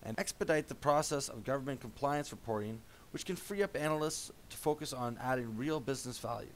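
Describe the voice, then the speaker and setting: A man narrating a software product demo as a voice-over, in a measured explanatory tone. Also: mid-range voice, slight background noise.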